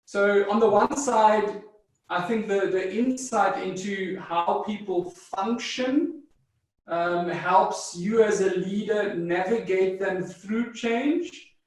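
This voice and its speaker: South African man, slow, in an echoey room. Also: high-pitched. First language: German. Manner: measured, thoughtful, with frequent fillers and restarts. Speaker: guest psychologist. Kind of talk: interview answers. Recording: distant mic in a very echoey room.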